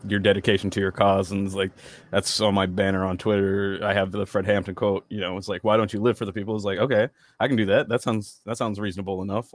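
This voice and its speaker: A man's voice: fairly low pitch, no echo. A man chatting casually in an easygoing, wry way, with fillers. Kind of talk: casual conversation.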